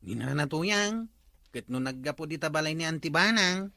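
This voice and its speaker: An inquisitive, teasing villager played by a man in a comedy radio drama. He has an Ilocano accent and a bright, fairly high voice, captured very dry. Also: expressive, normal speed, vocal fry, no echo, exaggerated voice.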